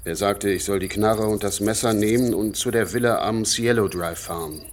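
Actor as a low-voiced young male cult follower. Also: steady tone, normal speed, slight background noise, natural voice. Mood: matter-of-fact. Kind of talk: German radio play monologue.

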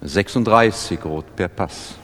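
A man's voice: deep voice, measured pace. A man playing a formal roulette croupier, calling bets in short clipped announcements. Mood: formal, commanding. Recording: echoey hall with crowd noise.

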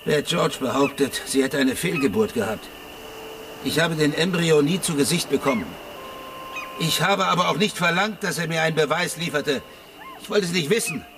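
An actor playing a troubled, guilt-ridden ex-detective who answers tersely and defensively in a German radio crime drama. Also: male voice, fairly high pitch, normal speed, background noise, close to an everyday voice. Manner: terse, clipped recollection. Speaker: client being questioned. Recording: studio radio play with music underneath. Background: music.

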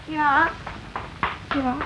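An actress playing a fussing, plain-spoken housewife in a Dutch radio play. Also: female voice, high voice, steady tone, extremely slow, bright, forceful tone, muffled recording, full character voice. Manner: plain, colloquial. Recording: distant, noisy old radio recording.

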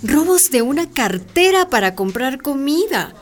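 A woman's voice: high voice, sing-song, a little slow, soft background music. An actress playing a pragmatic, earnest woman mayor in a radio drama.

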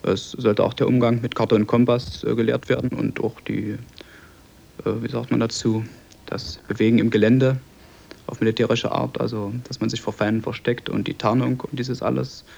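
A man's voice: medium pitch, little pitch movement, normal speed, creaky, a little mellow. A young East German man, a former pupil, explaining his experience in an interview. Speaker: refugee eyewitness, former pupil. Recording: dull old tape.

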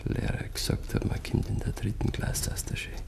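An actor playing a restless, brooding village husband with a halting, musing delivery and a Bavarian accent, in 1950s radio play style. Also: male voice, a little mellow, close to an everyday voice. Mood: proud, musing. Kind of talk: 1950s German dialect radio play acting. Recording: 1950s radio recording, dull, faint noise.

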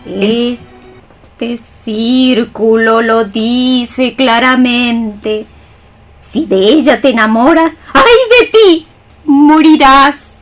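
A woman's voice: fairly high pitch, fairly even intonation, drawn-out words, faint music behind. A woman playing a solemn old cockroach sorceress, declaiming poetic lines in a radio play. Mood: ominous warning. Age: older. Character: ailing old female cockroach sorceress, ominous healer and friend. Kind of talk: poetic radio theatre acting.

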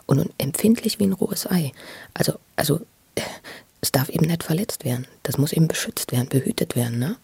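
A person telling personal memories in a spontaneous, confiding way, halting and often repeating words. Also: medium pitch, expressive, gravelly, darkish tone, slightly rough, no echo. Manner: halting, repetitive, earnest, confiding. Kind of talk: intimate spontaneous storytelling in a documentary radio play.

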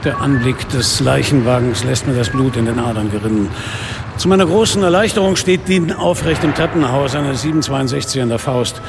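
A man's voice: medium pitch, brisk, vocal fry, noisy background, lightly acted. A man acting a weary, hard-boiled police inspector in a radio crime drama, narrating his case tersely and dryly. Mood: grim, matter-of-fact. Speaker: lead actor and narrator.

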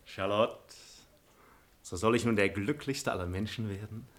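A man's voice: lowish voice, moderate pace, close to an everyday voice. An actor playing an ardent, deceitful nobleman and seducer in a classic radio comedy, with flowery, theatrical speech. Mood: rapturous. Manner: flowery, silver-tongued, theatrical. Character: smooth aristocratic male seducer, ardent, deceitful, imperious when crossed. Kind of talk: classic comedy radio play acting, declamatory.

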